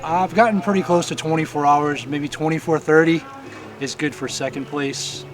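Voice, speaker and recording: This man from Louisiana is a veteran bikepacking racer answering interview questions. His voice is a little high and his answers are brisk and factual. Event music plays clearly in the background. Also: steady tone.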